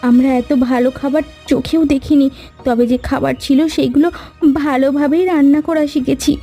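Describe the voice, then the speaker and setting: Lady doing a young cartoon bride, very high soft voice, polite, plaintive but stands her ground. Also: steady tone, brisk, faint music behind. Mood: hurt, defending. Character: meek but self-defending young village bride. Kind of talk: animated cartoon story dialogue.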